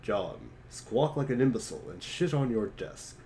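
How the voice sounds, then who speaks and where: A man's voice: medium pitch, animated, moderate pace, dark tone, husky. A man casually reading short lines and chatting in a group. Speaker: co-reader of command lines.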